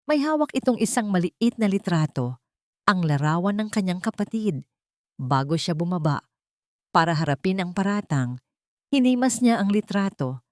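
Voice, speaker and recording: A woman's voice: lowish voice, darkish tone, no echo, clean studio sound. A woman reading a scripted dramatic story as narrator, with emotive delivery.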